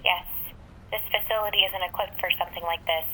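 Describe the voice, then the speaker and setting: A woman playing a brisk, sardonic warrior-engineer in a fan audio drama, with a clipped, dry manner. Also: mid-range voice, steady tone, pushed and bright, slightly rough, poor audio. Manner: clipped, dry, matter-of-fact.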